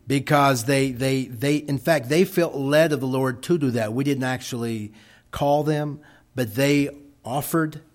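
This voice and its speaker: Middle-aged Texan man, unhurried missionary report to a congregation, plain homespun delivery. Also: mid-range voice. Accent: Texas. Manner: slow, folksy, halting with many restarts.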